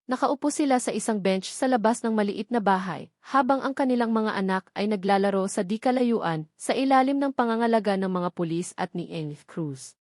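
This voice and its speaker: A woman's voice: medium pitch, brisk, darkish tone, no echo. Woman narrating a romance story, dry studio sound.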